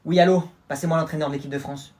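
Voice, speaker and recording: A man in a comedy sketch, barking excitable, bossy orders down a phone. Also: on the high side, rapid-fire. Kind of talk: comedy skit acting.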